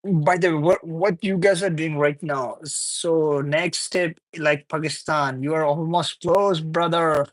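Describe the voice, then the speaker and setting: A man's voice: a little high, fairly even intonation, unhurried, dry recording. A young man from Bangladesh hosting a casual late-night livestream, chuckling often as he reads viewer comments aloud and banters back. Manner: loose, repetitive, teasing banter. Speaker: livestream host. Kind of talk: casual live chat with viewers.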